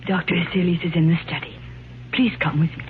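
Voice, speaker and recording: A male actor plays a deferential old-fashioned manservant with a higher-pitched voice. He is formal, halting and obliging, heard through a muffled, hissy 1950s radio recording. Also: steady tone, close to an everyday voice. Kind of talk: 1950s radio drama acting. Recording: muffled, noisy vintage radio.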